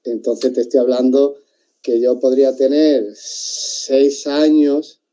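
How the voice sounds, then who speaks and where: Dark-voiced Andalusian man, rambling. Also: medium pitch, fairly even intonation, slow, poor audio. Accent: Andalusian Spanish. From Spain. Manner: rambling, restarts often, thoughtful. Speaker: interview guest, martial arts teacher. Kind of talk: casual interview chat.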